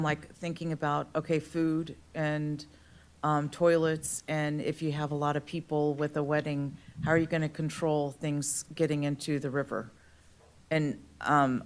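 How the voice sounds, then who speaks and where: A woman on a county board asking questions at a hearing, rambling and thinking aloud with many fillers. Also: low-pitched. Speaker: board member. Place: county board meeting room.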